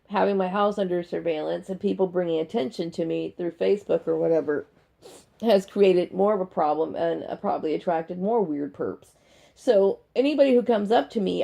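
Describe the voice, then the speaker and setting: A woman in her forties with a dry, gravelly voice, talking blunt and unscripted in a personal video, punctuating her points with "okay?". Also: low voice, dark tone. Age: 40s. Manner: blunt, emphatic, often checking with "okay?". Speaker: video blogger. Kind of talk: unscripted personal monologue to camera. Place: bedroom at home.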